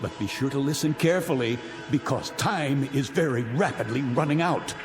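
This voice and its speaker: A man's voice: medium pitch, lively intonation, moderate pace, close to an everyday voice. A middle-aged actor playing a vindictive rich man taunting his guests in 1960s television drama style, mocking and grandiloquent. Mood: commanding, gloating. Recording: vintage TV soundtrack, reverberant, music behind.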